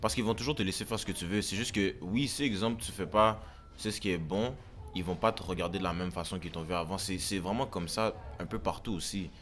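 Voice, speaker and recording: A man's voice: low voice, fast, a bit bright. A young man with a Quebec French accent, a podcast guest earnestly repeating his point and mixing English into his French. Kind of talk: casual chat.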